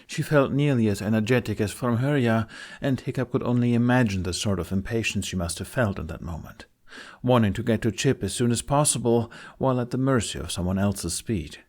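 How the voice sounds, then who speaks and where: A man's voice: medium pitch, brisk, darkish tone. A man reading scripted, dramatic fantasy narration as an audiobook narrator.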